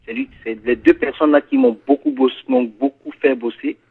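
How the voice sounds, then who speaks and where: A man's voice: very high-pitched, brisk, vocal fry, slightly rough, phone-quality sound. Ivorian man in a radio interview. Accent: Ivorian French. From Ivory Coast. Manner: relaxed, peppers talk with "yes, sir". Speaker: guest singer. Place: web radio studio.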